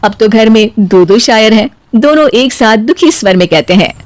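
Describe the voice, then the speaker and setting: A woman's voice: a little high, animated, moderate pace. A woman narrator reading a scripted domestic comic story.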